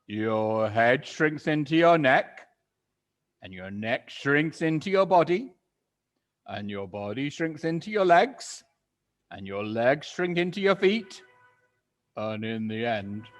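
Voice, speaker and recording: A man voicing a cruel, gloating old husband who taunts slowly and mockingly. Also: medium pitch, sing-song, voice pushed up. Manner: slow, drawn-out and mocking.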